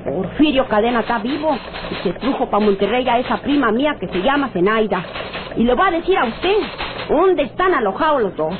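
A woman's voice: a little high, animated, fairly quick, close to an everyday voice. An actress playing a spiteful rural Mexican tattler, telling her tale in an emphatic, folksy way for a radio serial. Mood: accusing. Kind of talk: old radio serial acting. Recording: tinny, noisy old radio recording.

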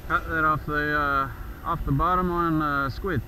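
Man, fairly high voice, casual play-by-play angler, kayak-mounted camera out on open water, music under his voice. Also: measured pace, poor audio. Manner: excited whoops when fish bite. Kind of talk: casual running commentary. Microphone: action camera on the kayak.

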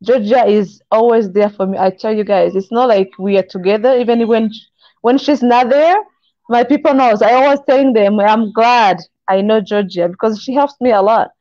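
Mellow-voiced woman, earnest. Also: fairly high pitch, dry recording. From Congo. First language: not English. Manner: earnest, encouraging, halting phrasing. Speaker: guest. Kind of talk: video call interview. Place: kitchen indoors. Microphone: video call. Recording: dull, lo-fi call audio.

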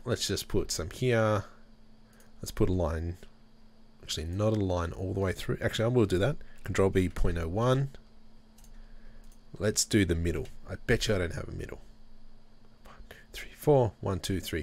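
Deep-voiced man talking through a tutorial. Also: a little hiss or hum. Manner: relaxed, thinking aloud while working. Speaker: tutorial host. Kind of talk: screen-recorded software tutorial.

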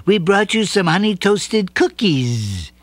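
A man voicing a gentle mother bee with a slow, soft delivery. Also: high-pitched, sing-song, no room sound, pitched above the performer's own voice, heavily acted. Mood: sweet.

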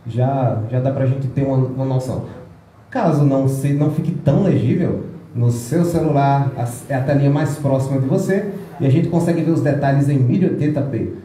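A man's voice: mid-range voice, fairly quick, mellow. Chatty man in an echoey room. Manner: chatty, rambling, with restarts and playful asides. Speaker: presenter of a music video. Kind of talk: casual public talk. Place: small indoor meeting room with projector screen.

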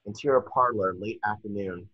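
A man's voice: on the low side, moderate pace, dry recording. A young man reading screenplay stage directions as scripted narration, on dull video-call audio. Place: indoor room at home, on a video call.

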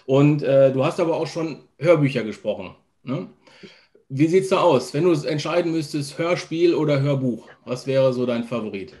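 Male interviewer, slightly high voice, casual video-call chat. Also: animated, normal speed, a little mellow. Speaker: interviewer, review blogger. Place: home or office room, video call.

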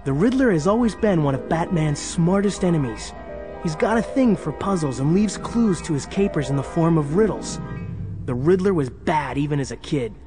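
An actor playing an eager young sidekick, narrating a children's audio drama in a dramatic scripted style. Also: male voice, fairly high pitch, lively intonation, normal speed, music in the background, lightly acted. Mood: earnest storytelling. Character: eager young male sidekick telling case history.

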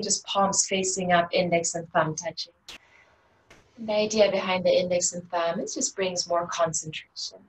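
A woman teaching a guided meditation in a gentle, unhurried, inviting way. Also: lowish voice. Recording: distant mic with faint background noise.

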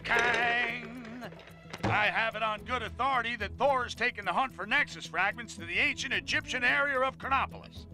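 An actor playing a blustery, self-important middle-aged newspaper boss ranting on air in an animated video game. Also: male voice, very high-pitched, normal speed, over music. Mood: blustering, self-assured. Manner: brash, emphatic, self-important. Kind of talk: animated game cutscene news rant.

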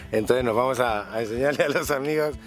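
A man casually guiding viewers on an outdoor bike tour, speaking to camera. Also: medium pitch. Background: music.

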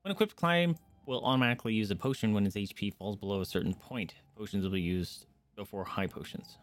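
A man's voice: on the low side, fast talker. A man giving casual gameplay commentary as a streamer, muttering to himself as he reads on-screen text aloud. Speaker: streamer playing a video game. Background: video game music.

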